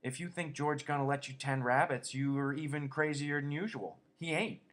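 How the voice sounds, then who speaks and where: A man's voice: a little high, moderate pace, a bit bright, voice pushed up, natural voice. A man voicing a giant imaginary rabbit that taunts and sneers with scorn. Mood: scornful.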